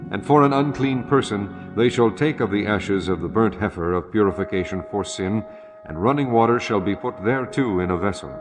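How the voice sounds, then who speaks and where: A man narrating an audio Bible in a solemn, formal, measured scripted style. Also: on the low side.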